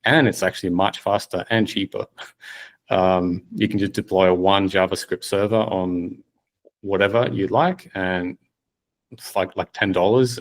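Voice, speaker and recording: A man's voice: low voice, vocal fry. A man hosting a course video call, upbeat and encouraging. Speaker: course host. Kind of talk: casual call wrap-up.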